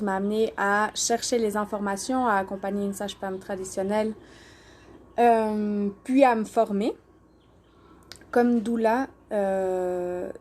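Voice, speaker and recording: A woman's voice: medium pitch, a little mellow, slight background noise. A woman from Switzerland and Portugal introducing herself informally on a live stream, speaking hesitantly and searching for her words. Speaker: doula and alternative therapy practitioner hosting a live stream. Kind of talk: informal self-introduction on a social media live.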